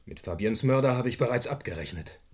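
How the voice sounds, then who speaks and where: An actor playing a cornered gangster in a German radio crime drama, bitter and defensive, with terse speech and dramatic emphasis. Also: male voice, medium pitch, lively intonation, brisk, lightly acted. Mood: grim confession.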